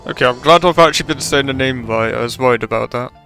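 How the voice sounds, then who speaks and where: A man's voice: mid-range voice, fast, vocal fry, pushed and bright, soft background music. A man giving casual gameplay commentary, musing aloud in a conversational way into a close microphone. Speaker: gaming streamer. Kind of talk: casual game playthrough commentary.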